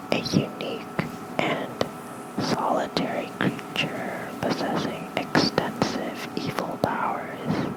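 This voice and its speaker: An ASMR creator softly whispering a bedtime lore reading with casual asides, gentle and breathy, close on a fluffy-covered mic. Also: poor audio. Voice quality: soft breathy unvoiced whisper. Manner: slow, gentle, unhurried.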